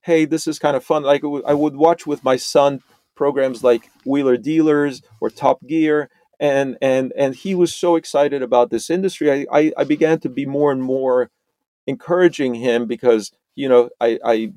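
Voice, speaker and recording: A middle-aged man from Romania, a podcast guest, talking in a halting way with many restarts and repeated words. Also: mid-range voice, fairly even intonation, warm tone, no echo. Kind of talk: conversational interview.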